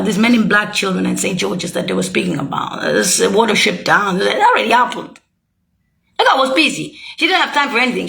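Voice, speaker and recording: A woman's voice: on the high side, creaky, sharp tone. A woman speaking a rambling, heated monologue, swearing and accusing as she jumps from thought to thought. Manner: loose, jumping from thought to thought.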